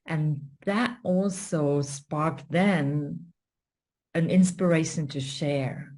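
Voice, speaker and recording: Female interview guest with a Finnish accent. Low register, very unhurried, measured delivery for personal storytelling. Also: darkish tone. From Finland. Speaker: guest, story coach and spiritual mentor.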